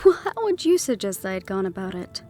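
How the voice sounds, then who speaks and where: A woman's voice: mid-range voice, sing-song, normal speed, warm tone, faint music behind. A woman voicing a defiant young female thief in an audio roleplay, teasing and sardonic, sometimes dropping to a whisper.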